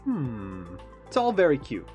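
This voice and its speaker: A man hosts a reaction video with a mid-pitched voice. He rambles enthusiastically through his analysis in a casual way, with faint music behind him. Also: wildly swooping pitch, unhurried, darkish tone.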